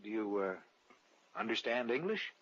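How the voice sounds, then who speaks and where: An actor with an American accent playing a thoughtful traveler who narrates a suspense tale in an old radio drama, measured and brooding. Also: male voice, on the low side, sing-song, fairly bright tone, breathy edge, exaggerated voice. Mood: polite, hesitant. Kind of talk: 1940s-50s radio drama narration and dialogue. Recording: dull vintage radio recording, music behind. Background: dramatic music.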